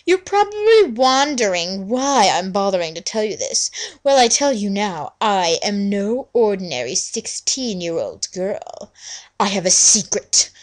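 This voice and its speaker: A woman's voice: mid-range voice, sing-song, measured pace. A woman giving a theatrical dramatic reading of a story in an exaggerated, mock-serious manner. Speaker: fanfiction reader.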